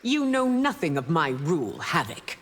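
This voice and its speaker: A woman's voice: low voice, sing-song, normal speed, very noisy. A woman voicing a proud, imperious ruler in a fighting game, with a formal, haughty and scornful delivery. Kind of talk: fighting game character acting. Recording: reverberant hall.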